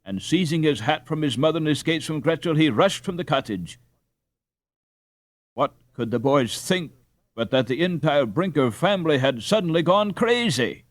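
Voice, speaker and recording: A man reading a storybook aloud as an audiobook narrator, with expressive scripted delivery. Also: mid-range voice, no echo. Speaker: audiobook reader.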